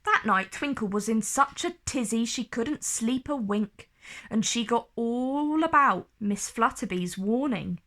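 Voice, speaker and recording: A woman storyteller reading a children's book aloud in an expressive, careful, theatrical way. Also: on the high side, a little slow.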